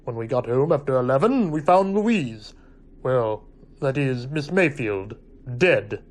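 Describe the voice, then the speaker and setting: A man playing a flattering, self-deprecating society playboy in a radio mystery play, with a florid, theatrical manner. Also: medium pitch, unhurried, close to an everyday voice. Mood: defensive. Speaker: actor in an amateur radio play. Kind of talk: radio mystery drama acting.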